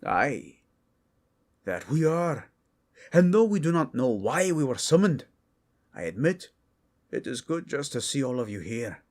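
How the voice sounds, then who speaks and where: A man's voice: medium pitch, sing-song, measured pace, pauses often, a little mellow. A man voicing a male dwarf warrior making a solemn, ceremonial toast. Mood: warm.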